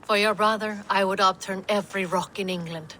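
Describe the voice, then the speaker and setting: A woman's voice: medium pitch, fairly even intonation, a little fast, sharp tone, a little hiss or hum, lightly acted. A woman playing a blunt, loyal Viking warrior in a video game, speaking plainly. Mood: warm, loyal. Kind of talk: dramatic video game acting.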